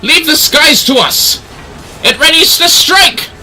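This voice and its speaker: A man's voice: very high voice, slow pace, pushed and bright, slight background noise, voice pushed up, heavily acted, low-quality recording. A man voicing fervent fantasy knight commanders in a video game, declaiming urgent battle cries to rally troops. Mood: rousing, defiant.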